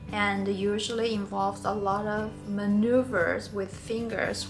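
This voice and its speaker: Gentle Chinese-born woman teaching knitting. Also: medium pitch, poor audio. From China. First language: Mandarin. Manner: gentle, earnest and encouraging. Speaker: knitting tutorial host. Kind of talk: friendly instructional talk.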